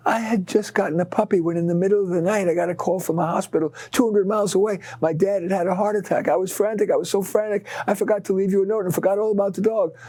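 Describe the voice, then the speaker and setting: A man voicing a frantic, apologetic woman neighbor in a hurried, pleading manner. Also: high voice, fairly even intonation, dark tone, dry recording, voice pushed up.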